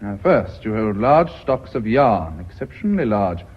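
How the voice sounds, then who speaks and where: An actor playing a smug, calculating business aide in British radio drama, precise and smugly polite. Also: male voice, fairly low pitch, sing-song, normal speed, husky. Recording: slightly dull radio drama recording.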